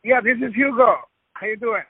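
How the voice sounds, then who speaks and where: A man's voice: very high-pitched, fairly even intonation, a crowd in the background. A man from Ecuador, a native Spanish speaker, speaking proudly and steadily as a wrestling promoter in a phone interview. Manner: proud, measured talker. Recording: tinny phone line.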